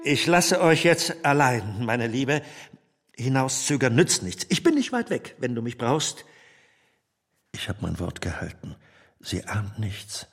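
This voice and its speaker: A very animated, husky male voice of middle pitch, a kindly, flustered older gentleman in a period radio play. He reassures haltingly and evasively, in a reverberant space with some music. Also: heavily acted. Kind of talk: period radio drama acting.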